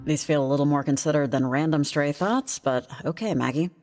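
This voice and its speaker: A woman's voice: low voice, a little fast, darkish tone, close to an everyday voice. A woman playing a practical, guarded, concerned character in a video game, speaking casually with a dry, hesitant, understated manner. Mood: uneasy, puzzled. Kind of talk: naturalistic game voice acting, casual dialogue.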